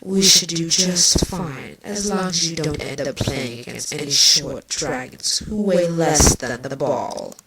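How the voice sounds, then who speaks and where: A woman voicing a sardonic, villainous creature queen in a fan story reading, speaking in a dry, taunting way with a breathy edge. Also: deep voice, a little slow, hardly any pauses. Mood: dry sarcasm. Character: sardonic villainous female insect-like changeling queen. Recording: faint noise and clicks.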